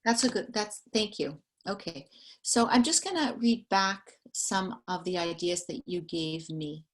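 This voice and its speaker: Woman interviewer, lower register, methodical and patient, careful structured delivery. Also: measured pace. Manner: calm, methodical, reads questions and summaries back. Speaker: survey interviewer.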